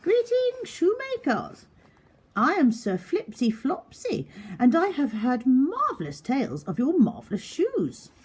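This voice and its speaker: A woman voicing a pompous, flamboyant gentleman in a children's storybook, bellowing theatrically and gushing. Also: sits high, wildly swooping pitch, unhurried, pitched above the performer's own voice, heavily acted. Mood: grand, delighted.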